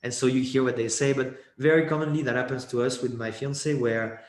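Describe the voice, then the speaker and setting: A man's voice: medium pitch, fairly even intonation, fairly quick. A young man, a guest in a casual interview, talking in a rambling way with many restarts and fillers. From Switzerland. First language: French. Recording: echoey room.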